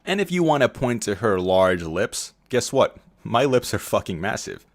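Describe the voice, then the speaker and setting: A man's voice: on the low side, sing-song, moderate pace. A man giving personal opinion commentary in a video.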